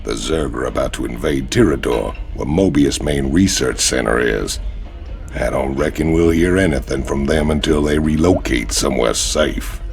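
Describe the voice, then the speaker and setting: A man playing a laconic, folksy space mercenary in a video game, with a gravelly drawl. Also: rumbling low, moderate pace, soft background music. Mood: matter-of-fact. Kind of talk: video game cinematic acting.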